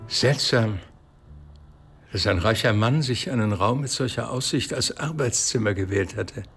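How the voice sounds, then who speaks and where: An actor playing an educated, matter-of-fact doctor who explains things thoughtfully in a radio crime drama. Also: male voice, lowish voice, unhurried, a bit bright, slightly rough, soft background music, heavily acted.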